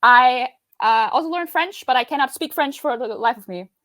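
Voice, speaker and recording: A woman's voice: high-pitched, moderate pace, fairly bright tone, no echo. A young woman from Austria, German as her first language, speaking English with an Austrian German accent, chatting as a podcast guest over a video call, bubbly and laughing often. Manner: bubbly, laughs often, many restarts and fillers.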